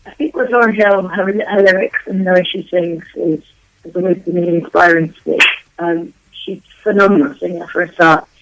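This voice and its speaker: A woman singer being interviewed, her voice worn out and going hoarse, chatting loosely with lots of ums and restarts. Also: on the low side, steady tone, a little fast, lo-fi sound, noisy background. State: losing her voice. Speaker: guest vocalist. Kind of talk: casual interview answers.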